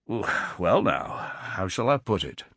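Guy reading as a gruff businessman, lower, huffy and a bit condescending, dry room. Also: very animated, slow, sharp tone, no room sound, full character voice. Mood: blustering. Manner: blustering, condescending. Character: gruff benevolent middle-aged businessman.

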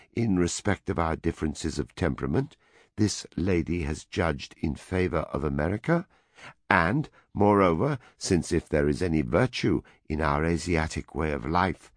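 A man voicing a weary male vice president who reasons in measured, faintly bitter tones. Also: low-pitched, dry recording, studio quality.

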